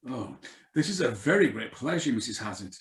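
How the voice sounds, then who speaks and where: In an echoey room, a man acts out a suave blackmailer from a radio play. He swoops up and down in pitch, drawing out his courtesies with a sneer. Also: sing-song, a little fast. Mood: smooth, taunting. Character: suave, sneering male blackmailer, mockingly polite. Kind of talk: live radio drama reading.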